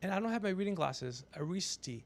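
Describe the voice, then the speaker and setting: Guy in his 50s doing a livestream, high voice w lots of gravel, sweary and brash. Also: expressive, brisk, no room sound. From Persian background. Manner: brash, ranting, swears freely. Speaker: podcast host on a livestream. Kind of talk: casual profane livestream chat.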